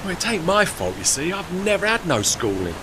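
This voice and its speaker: A man plays a cheeky, unschooled Thames steamboat with a Cockney accent in an audio play. The voice is fairly high and extremely lively in pitch, blunt and chummy. Clear ambient noise runs behind it. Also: fairly quick. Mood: prickly. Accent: London Cockney. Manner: colloquial, blunt, ungrammatical. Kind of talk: audio drama dialogue.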